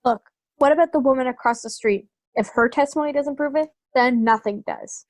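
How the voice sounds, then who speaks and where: A woman's voice: high-pitched, a little fast, no echo. A woman playing a stubborn, hot-headed, prejudiced juror in an amateur audio drama. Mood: insistent.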